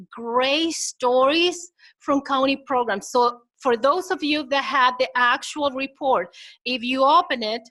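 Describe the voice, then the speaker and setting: A woman with a Minnesotan accent giving a conference presentation, chatty and engaging, asking the audience rhetorical questions. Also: sits high. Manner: chatty, acts out quotes in lively voices.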